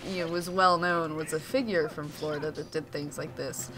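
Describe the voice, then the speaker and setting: A man co-hosting a game playthrough, chatting and telling an animated story. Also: fairly high pitch, moderate pace, rough recording. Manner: animated storyteller, laughs easily. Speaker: let's play co-host. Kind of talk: casual chat over gameplay. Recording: faint game music behind.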